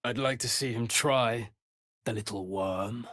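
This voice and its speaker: A man's voice: medium pitch, a little slow, a bit bright, no room sound, clean studio sound, natural voice. An actor playing an arrogant, sneering young male vampire spawn in a video game, haughty and taunting, with a drawling delivery. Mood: cold disdain. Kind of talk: dramatic video game character acting.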